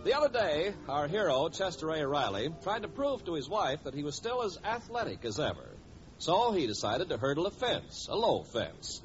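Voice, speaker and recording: A man's voice: fairly high pitch, big pitch swings, normal speed. A man announcing a 1940s radio show, delivering sponsor lines and narration with emphatic, theatrical delivery. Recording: dull vintage radio broadcast. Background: loud music.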